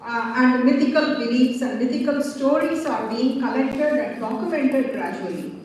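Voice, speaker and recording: Woman with a high voice, academic presenter, picked up from afar in a very echoey hall. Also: darkish tone, a little hiss or hum. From Tamil Nadu, India. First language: Tamil. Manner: formal, measured lecturing with pauses. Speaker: conference speaker. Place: large seminar hall. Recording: echoey hall heard from far off.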